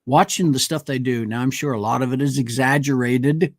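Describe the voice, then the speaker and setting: A man's voice: medium pitch, fairly quick, dry recording, clean studio sound. A man co-hosting a casual talk show, speaking hesitantly with many fillers as he reaches for names. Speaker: co-host. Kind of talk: casual chat. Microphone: studio mic on a live stream.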